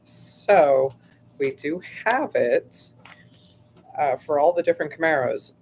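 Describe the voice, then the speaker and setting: A woman hosting a casual livestream, her voice going hoarse, rambling chattily with lots of fillers and frequent throat clearing. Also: low-pitched, slight background noise. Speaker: host.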